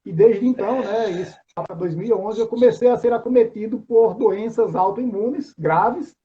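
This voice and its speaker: A man's voice: high-pitched, moderate pace, dark tone. A man in his forties with a Northeastern Brazilian accent, chatting informally as an interview guest, often ending phrases with tag questions. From João Pessoa, Paraíba, Brazil. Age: 40s. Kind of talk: casual chat, self-introduction. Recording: dull lo-fi online call sound.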